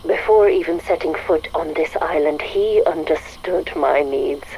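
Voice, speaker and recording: A coldly ambitious woman scientist dictating her log in a low register thick with vocal fry. She is measured and self-satisfied, with open contempt for anyone in her way. A faint layer of noise sits over the game recording. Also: a little mellow, rough recording. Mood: cold satisfaction. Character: arrogant, coldly ambitious woman scientist running human experiments. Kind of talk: scripted audio log monologue.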